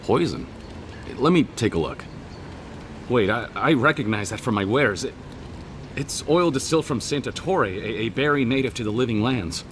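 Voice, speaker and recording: A man's voice: medium pitch, animated, moderate pace, a little hiss or hum, lightly acted. Man playing a nervous, stammering male merchant. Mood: nervous, pleading. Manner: nervous, hesitant. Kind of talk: video game voice acting.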